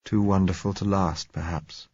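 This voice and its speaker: A tender young man, soothing and resigned , played by a male audiobook reader. The voice is low and a little husky, in a roomy recording. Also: moderate pace, highs cut off. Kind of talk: audiobook character dialogue.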